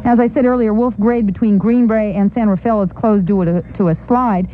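A woman's voice: mid-range voice, fairly even intonation, a little fast, a little hiss or hum. American newswoman on narrow, lo-fi radio. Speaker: radio newsroom reporter. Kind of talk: scripted radio news report. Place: radio newsroom. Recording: narrow lo-fi 1980s radio aircheck.

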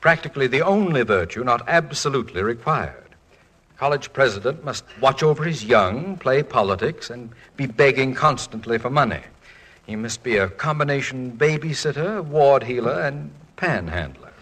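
An actor playing a fretful, erudite college president and husband in 1940s radio comedy, dry, eloquent and mock-pompous. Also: male voice, mid-range voice, expressive, normal speed, fairly bright tone, husky. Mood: wry, exasperated.

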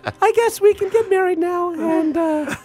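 A man voicing a nervous groom with a mock French-Italian accent, halting and full of broken grammar. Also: very high-pitched. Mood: nervous, flirty. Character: bumbling foreign groom reading clumsy vows.

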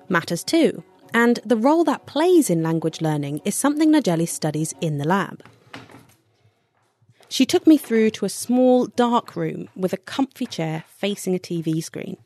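A woman producer presenting a science podcast in clear, scripted explanatory narration. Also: medium pitch, darkish tone. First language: English.

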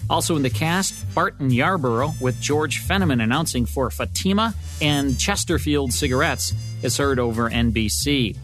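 A man reads a scripted podcast sign-off as host. His pitch is average. Soft music plays behind him. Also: animated, normal speed, hardly any pauses. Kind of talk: scripted show outro.

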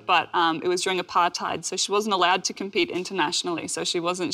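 A woman's voice: fairly low pitch, bright, forceful tone. A woman, answering interview questions in an earnest, reflective way. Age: 20s. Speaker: interview guest, athlete.

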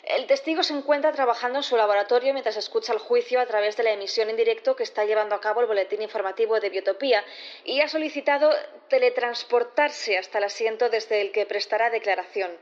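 A woman plays a formal courtroom prosecutor. She talks very fast in a fairly high, businesslike voice, as in an audio drama. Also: muffled recording, close to an everyday voice. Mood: brisk, formal. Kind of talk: scripted audio fiction acting.

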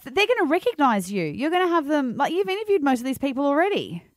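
A woman's voice: sits high, big pitch swings, quick pace, no echo. An Australian woman co-hosting a radio show with casual on-air banter. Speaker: breakfast radio co-host. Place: radio studio.

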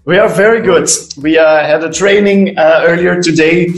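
A man's voice: a little high, normal speed. A man in his late twenties from Belgium with a Belgian accent, answering questions as an interview guest. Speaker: podcast guest, dancer and teacher. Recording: echoey room.

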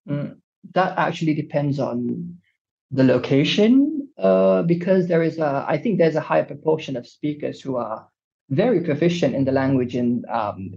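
A man's voice: on the high side, vocal fry, warm tone, highs cut off. A man from Malaysia whose native language is Malay, answering interview questions thoughtfully, with many fillers and self-corrections.

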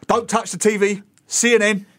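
A man, co-hosting a casual radio chat in a studio and telling stories with animated mimicry. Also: high voice, moderate pace, no room sound. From England. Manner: animated storytelling, mimics reporters. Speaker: radio co-host. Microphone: studio microphone.

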